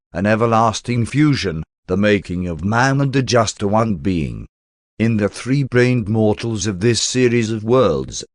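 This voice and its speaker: Man, lowish voice, formal scripted reading, measured delivery, audiobook narrator, very dry recording. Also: dry recording.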